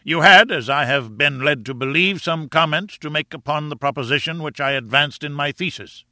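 Audiobook role: short, fierce professor, contemptuous and pompous, rumbling roar, sharp attack. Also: male voice, moderate pace, no room sound. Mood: brusque, menacing. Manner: pompous, menacing, insulting. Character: short, barrel-built furious professor, bullying and contemptuous. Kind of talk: audiobook character acting.

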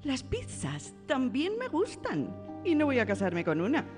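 Teasing young girl's mother, very animated. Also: high-pitched, measured pace, in a large hall, faint music behind, heavily acted. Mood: amused. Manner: teasing, indulgent. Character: playful, affectionate mother of a young girl. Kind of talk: radio drama acting.

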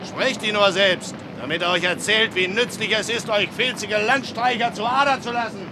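Actor as high, haughty, sneering lordly man. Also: steady tone, normal speed, background noise, close to an everyday voice. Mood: sneering, stern. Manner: sneering, imperious. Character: haughty, sneering male castle official or young nobleman. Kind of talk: German radio play acting.

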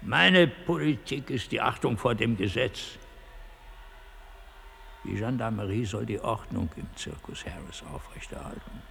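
An actor playing an authoritative, legalistic general in a radio drama, formal and measured in manner. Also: male voice, on the low side, animated, creaky, fairly bright tone, a little hiss or hum, exaggerated voice.

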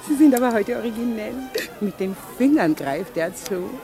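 A woman's voice: a little high, sing-song, a little slow, a little mellow. Gushing, flirtatious society lady. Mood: excited, affected. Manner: affected, exclamatory, playfully teasing. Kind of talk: 1950s German radio play acting. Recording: 1950s radio recording with music.

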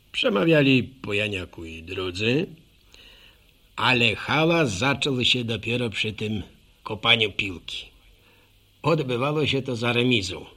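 An actor playing a folksy older village man with a rural Polish accent, telling a wry, rambling radio drama monologue in a gravelly voice. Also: on the low side, pushed and bright, full character voice.

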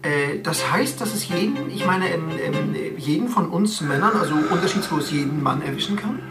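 An actor playing a polished TV news presenter in a radio drama, formal and questioning. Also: male voice, fairly high pitch, expressive, moderate pace, distant mic, loud music behind the voice, close to an everyday voice. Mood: formal, concerned. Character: polished, concerned male television news presenter.

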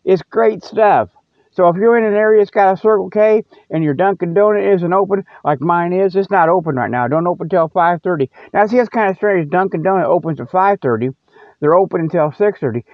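Male comic host, fairly high voice, folksy and meandering, dry lo-fi sound. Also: brisk, dry recording. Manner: folksy, meandering, repeats himself. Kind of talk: rambling, casual mock news monologue. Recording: dull, dry lo-fi audio.

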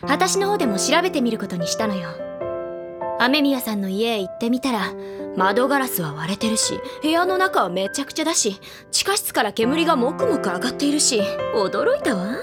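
A woman's voice: a little high, big pitch swings, brisk. An actress playing a confident, breezy, worldly senior schoolgirl in an audio drama, cool and evasive, with theatrical delivery. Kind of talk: Japanese audio drama acting. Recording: music behind voice.

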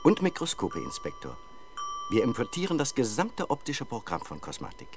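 A man's voice: mid-range voice, wildly swooping pitch, normal speed, slight background noise. A man acting as a courteous, fussy and precise camera importer in a 1970s German crime radio play. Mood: proud, helpful. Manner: polite, eager, meticulous.

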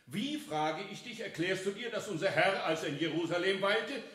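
A fervent churchman in a radio play. He declaims to an assembly in a high-pitched voice that echoes around the hall. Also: animated, normal speed, a bit bright. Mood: exasperated. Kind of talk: German radio drama acting. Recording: echoing hall.

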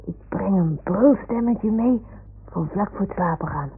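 Husky, earnest little boy. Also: mid-range voice, expressive, measured pace, full character voice. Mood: earnest, playful. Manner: childlike, earnest storytelling. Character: imaginative little boy talking with his toy animals. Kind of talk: radio play acting. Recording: muffled phone-like old radio recording.

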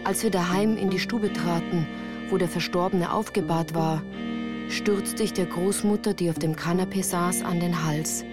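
A woman's voice: lowish voice, steady tone, moderate pace. A woman reading scripted first-person narration for a radio play. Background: music.

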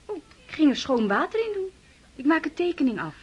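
A very high, animated voice of an eager young girl, gentle and a little timid. It is radio play acting on a faintly noisy recording. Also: a little fast, highs cut off. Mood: mild. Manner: giggly, timid, excited.

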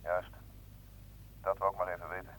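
Calm, probing low-voiced doctor. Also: male voice, moderate pace, no room sound, low-quality recording. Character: calm, probing male doctor sounding out colleague. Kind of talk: radio play acting. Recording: old radio play recording, faint noise.

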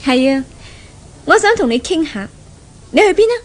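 A woman's voice: sits high, sing-song, moderate pace. An actress playing a spoiled, jealous, bossy young rich woman in a 1970s Cantonese radio drama with a Hong Kong accent. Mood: smooth, coaxing. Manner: haughty, bossy. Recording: 1970s radio recording, faint noise.

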